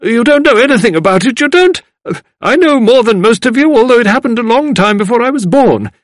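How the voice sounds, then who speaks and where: A grim older village woman in a storybook, played by a male reader, high and forceful in pitch swings. She is dismissive and insistent, sure she knows more than everyone. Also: expressive, a little fast, no room sound, studio quality. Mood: scornful, insistent. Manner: emphatic, dismissive. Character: grim, iron-haired older woman, scornful know-it-all.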